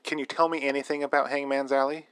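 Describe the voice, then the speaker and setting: A man's voice: medium pitch, little pitch movement, fast, a bit bright, clear, resonant tone, dry recording, natural voice. An actor playing a male radio host narrating a spooky story in scripted style. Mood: inquiring.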